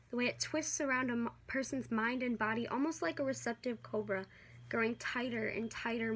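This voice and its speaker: A young woman performing a slam poem in an earnest, rhythmic, dramatic way, as an amateur poet. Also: a little high. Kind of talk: slam poetry recitation. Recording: amateur recording with light background noise.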